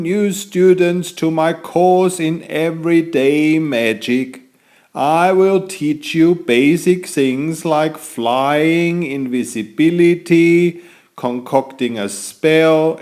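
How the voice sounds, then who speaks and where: A theatrical master wizard lectures his new students in a raised, booming voice, as a man acts him out for a storybook. His delivery is unhurried, with wide swings in pitch. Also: a little mellow. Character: grand, theatrical male master wizard teacher.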